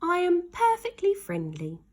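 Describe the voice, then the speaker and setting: A woman voicing a friendly, polite slime creature in a storybook, with drawn-out, courteous phrasing. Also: extremely high pitch, huge pitch swings, voice pushed up, exaggerated voice.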